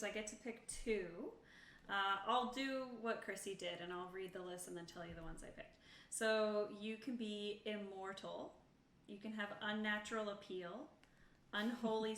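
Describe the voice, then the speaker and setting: A woman's voice: mid-range voice, measured pace, a bit bright. A woman playing a tabletop game, casually talking and reading out options. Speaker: tabletop role-playing player. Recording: echoey room, distant from microphone.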